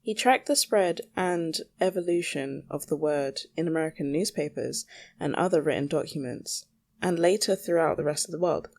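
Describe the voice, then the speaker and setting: Female solo podcast host, lowish creaky voice, casual rambling monologue, self-deprecating, mild swearing. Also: moderate pace, darkish tone.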